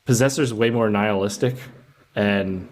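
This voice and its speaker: A man's voice: fairly low pitch, normal speed, a little mellow, a little hiss or hum. A man guesting on a movie podcast, chatting casually with jokes and some swearing. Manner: joking, casually profane. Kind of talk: casual movie review chat.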